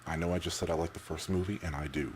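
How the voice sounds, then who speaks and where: A man giving casual, off-the-cuff opinions as a vlogger, halting and repeating words as he rambles. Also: low-pitched, steady tone, slight background noise.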